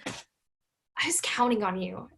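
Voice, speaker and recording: A woman's voice: mid-range voice, takes it slowly, creaky, fairly bright tone, a little hiss or hum. A woman playing a frustrated, resentful grown daughter in a staged reading of a play. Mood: hurt.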